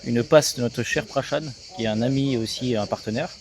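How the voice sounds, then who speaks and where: A man's voice: mid-range voice, brisk. A man in his thirties from Alsace giving a talkative, casual interview, peppered with business jargon. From Alsace, France. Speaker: sales agency co-founder being interviewed.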